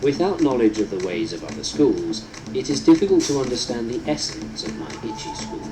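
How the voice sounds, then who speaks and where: A man reading a book aloud as scripted narration, in a solemn, deliberate, instructive manner. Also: mid-range voice, sing-song, poor audio. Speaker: audiobook narrator. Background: prominent instrumental music.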